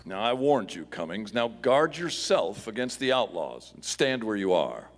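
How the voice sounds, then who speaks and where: Man as firm masked hero, formal, earnest, expressive intonation, radio western, echoey stage. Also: fairly low pitch, moderate pace, slight background noise. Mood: commanding. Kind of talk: live Old West radio play acting. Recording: echoey concert hall.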